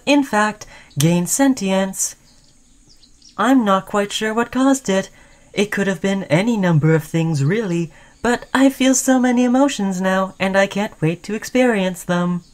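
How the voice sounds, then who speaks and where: A woman's voice: mid-range voice, darkish tone, close to an everyday voice. A woman voicing a friendly, caring, obsessively devoted sentient robot in a chatty, soothing audio roleplay. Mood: eager, protective. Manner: chatty, soothing, addressing listener as human friend. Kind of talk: scripted ASMR roleplay addressed to the listener.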